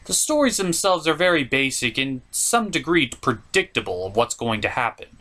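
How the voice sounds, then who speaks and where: A man's voice: medium pitch, very animated, normal speed, sharp tone. A man delivering scripted review commentary with joking asides and an emphatic, mock-exasperated manner. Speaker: reviewer hosting an anime review show.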